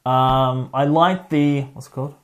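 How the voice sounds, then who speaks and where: A man's voice: mid-range voice, fairly even intonation, a little slow. A man co-hosting a podcast, giving his opinions in an informal, rambling chat. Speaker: podcast co-host reviewing a film. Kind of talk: casual chat.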